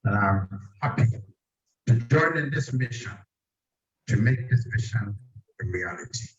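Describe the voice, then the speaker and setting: A man from Ghana with a Ghanaian accent, giving a measured public presentation as a project chairman. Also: on the low side, lively intonation, muffled recording.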